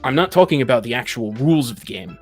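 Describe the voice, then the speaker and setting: A man's voice: mid-range voice, animated, fast, breathy edge, faint music behind. A man in a casual discussion, explaining things in an analytical, precise and somewhat argumentative way. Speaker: panelist in a tabletop gaming discussion.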